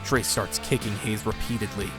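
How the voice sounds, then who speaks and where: A man's voice: lowish voice, steady tone, normal speed, over loud music. A man narrating an audio drama in scripted, present-tense prose.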